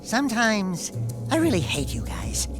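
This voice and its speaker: Casting: wisecracking cartoon animal sidekick, cowardly yet boastful, high-pitched and animated. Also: male voice, heavily acted. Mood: wary, sarcastic. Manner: brash, whiny, fast-talking braggart. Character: cocky little wisecracking animal sidekick, male, excitable, cowardly. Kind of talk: animated video game cutscene acting. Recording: loud music under the voice.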